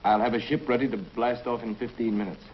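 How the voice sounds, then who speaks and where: A man's voice: medium pitch, steady tone, fast talker, lightly acted. An actor playing an urgent, insistent male officer in a 1950s TV drama, on a muffled old soundtrack.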